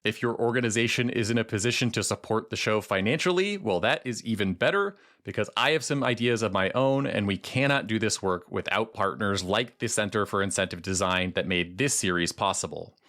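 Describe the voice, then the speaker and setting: A man hosting a policy podcast, reading a measured, earnest introduction and then interviewing a guest. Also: fairly low pitch, animated, a bit bright. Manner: measured, clear and earnest delivery. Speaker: podcast host.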